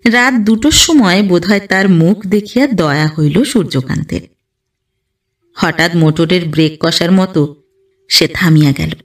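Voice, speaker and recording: A woman reading a literary story aloud in an expressive, dramatic, emotive manner. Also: fairly low pitch, unhurried, recorded from a distance. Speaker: audio story narrator.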